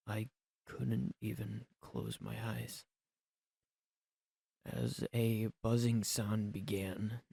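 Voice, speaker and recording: A man narrating a scripted first-person horror story as its storyteller, in slow, halting phrases with dramatic pauses. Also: lowish voice, gravelly, background noise. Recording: voice over prominent background music. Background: loud game soundtrack music.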